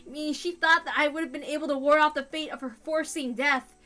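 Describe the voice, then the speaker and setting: A woman's voice: high-pitched, steady tone, fast, sharp tone. A woman reading a story aloud as a dramatic first-person narrator, in a mournful, intense manner. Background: music.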